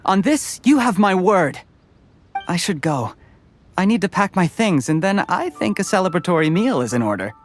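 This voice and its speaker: A man's voice: high voice, sing-song, normal speed. A man voicing a polite, formal young male official in a game. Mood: poised. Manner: courteous, formal. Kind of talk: game dialogue.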